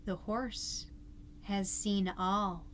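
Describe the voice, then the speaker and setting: Portentous, hushed reader, played by a woman for mock-solemn dramatic reading; deliberate, ominous, understated volume. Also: medium pitch, fairly even intonation, slight background noise.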